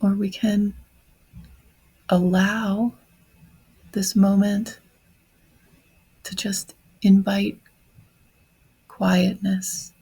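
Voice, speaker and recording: A woman leading a guided meditation in a soft, breathy voice, speaking gently in short phrases with long pauses. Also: medium pitch, level tone, poor audio. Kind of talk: guided meditation on grief, slow and reflective.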